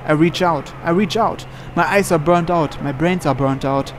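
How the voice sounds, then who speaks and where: A man's voice: on the high side, moderate pace, slight background noise. A man reading visual novel narration aloud dramatically, as a let's player.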